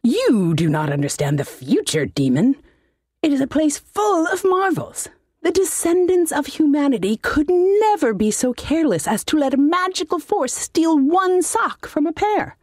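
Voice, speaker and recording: A woman voicing a pompous, menacing male wizard tyrant who makes deliberate threats in an audiobook story. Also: high-pitched, very animated, darkish tone, no room sound, clean studio sound, pitched above the performer's own voice. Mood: scornful, menacing.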